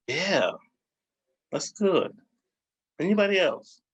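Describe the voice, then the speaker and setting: A man's voice: sing-song, takes it slowly, slightly rough, highs cut off. Man leading a group training session. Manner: encouraging, asks the group questions, rises in pitch for emphasis. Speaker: diversity trainer.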